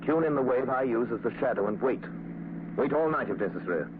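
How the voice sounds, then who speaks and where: An actor playing a calm, mysterious gentleman crime-fighter in an old radio drama, speaking in a measured, confident way. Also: mid-range voice, close to an everyday voice. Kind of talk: 1930s radio drama acting. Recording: tinny lo-fi old radio with faint hiss.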